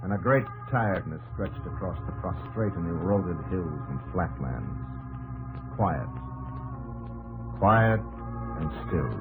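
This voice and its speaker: A man narrating a 1950s radio history drama in a solemn, declamatory American voice, in measured phrases. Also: deep voice, animated, narrow, tinny audio, heavily acted. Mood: somber. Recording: old radio broadcast with music behind.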